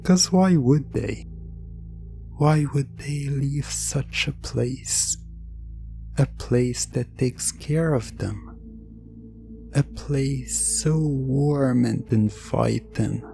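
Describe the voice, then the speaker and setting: A man delivering scripted hypnosis roleplay narration in an ASMR style, soothing and suggestive, with long pauses. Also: medium pitch, creaky, warm tone, faint music behind.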